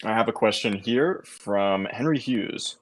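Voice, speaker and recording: A man's voice: fairly low pitch, unhurried. A man chatting casually as a co-host who reads out listener questions. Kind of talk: casual chat.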